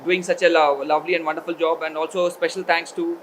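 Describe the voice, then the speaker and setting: A man giving a formal welcome speech at a college event, pausing often with fillers. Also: fairly high pitch, level tone, slight background noise, low-quality recording. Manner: hesitant, many filler pauses. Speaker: education consultancy representative.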